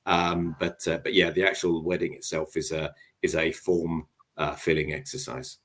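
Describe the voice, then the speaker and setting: Man, very deep voice, halting with lots of ums, casual storytelling, video call. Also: little pitch movement, a bit bright. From expat living in Japan. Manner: halting, many ums and restarts. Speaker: guest panelist. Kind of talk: casual storytelling on a live web panel.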